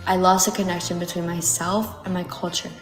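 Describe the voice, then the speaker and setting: A young woman from Baltimore tells her own story in a reflective, heartfelt voice-over. Her voice is on the low side and moves quickly. It carries a long reverb with faint music underneath. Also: level tone. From Baltimore, United States. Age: young adult. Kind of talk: personal narration.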